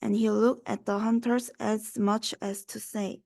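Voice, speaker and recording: Slow, slightly creaky young woman storyteller. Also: medium pitch, flat delivery, darkish tone, no echo. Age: young adult. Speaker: student reader. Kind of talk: reading a children's rhyming story aloud.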